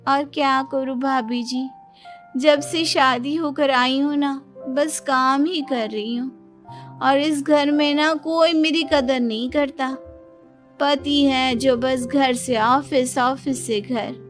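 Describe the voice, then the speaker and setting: A woman's voice: high voice, fairly even intonation, slow, soft background music. A woman voicing a self-pitying young daughter-in-law in a cartoon moral story, with a whiny, dramatic, aggrieved manner.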